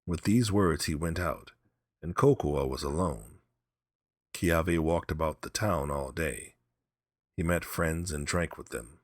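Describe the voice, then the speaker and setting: A man narrating a scripted story as an audiobook reader, in a measured, deliberate manner. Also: a bass voice, no room sound, studio quality.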